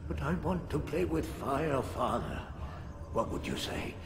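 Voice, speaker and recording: Theatrical, commanding doctor, slow and swooping. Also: male voice, on the high side, lively intonation, creaky, background noise. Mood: weary, defiant. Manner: theatrical, deliberate, swings from soothing to stern. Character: commanding, paternal male doctor, coldly cruel yet self-righteous. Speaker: voice actor. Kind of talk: dramatic video game cutscene acting. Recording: music behind the voice.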